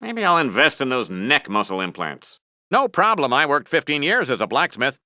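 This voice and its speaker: An actor playing a smug, vain middle-aged American TV news anchor in a cartoon game, with a pompous mock-serious broadcast delivery. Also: male voice, medium pitch, very animated, normal speed, bright, forceful tone, no room sound, clean studio sound. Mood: vain, breezy. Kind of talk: cartoon game newscast commentary.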